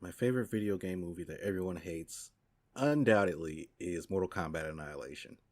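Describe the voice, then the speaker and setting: A man co-hosting a podcast, chatting casually and excitably, repeating his phrases and swearing freely. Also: sits low, a little fast. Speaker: podcast co-host. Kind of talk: casual, enthusiastic podcast chat.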